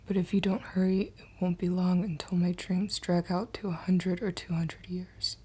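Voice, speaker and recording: A woman playing a tormented male hospital patient in a horror manga dub, breathy and whispery, with a brooding, dramatic delivery. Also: lowish voice, little pitch movement, moderate pace, mellow. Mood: haunted, weary.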